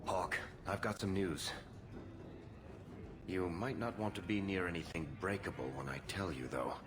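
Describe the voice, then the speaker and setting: A man's voice: low voice, normal speed, music in the background, lightly acted. An actor playing a sardonic, roguish male dwarf in a video game, speaking in a cool, confiding way. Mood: wry, confiding.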